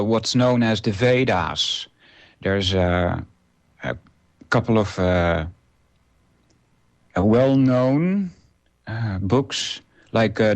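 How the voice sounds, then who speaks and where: A man in his forties, a native Dutch speaker, hosting a solo internet radio show and talking it through unscripted, with many filler uhs and restarts. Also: fairly low pitch. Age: 40s.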